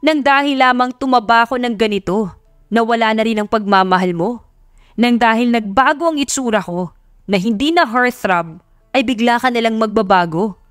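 A woman's voice: fairly high pitch, moderate pace, clear, resonant tone, no echo, clean studio sound, close to an everyday voice. A woman voicing a hurt, rejected husband in an audio love story, pleading and emotional. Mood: wounded, pleading.

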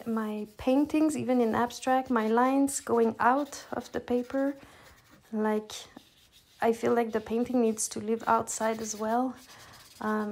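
A middle-aged woman from Quebec whose first language is French, speaking English with a Quebec French accent as she calmly talks through her art process with many pauses and fillers. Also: on the high side, gravelly. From Quebec, Canada. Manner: relaxed, hesitant, many fillers and restarts. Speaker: artist demonstrating her process. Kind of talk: casual voice-over tutorial.

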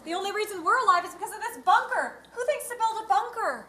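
A woman acts out a fed-up survivor wife in a live comedy sketch, blunt and insistent. Her voice is extremely high and bright, ringing around an echoey room. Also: expressive, fast talker, full character voice. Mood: incredulous. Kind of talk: live two-person comedy stage sketch. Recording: echoey stage with faint noise.

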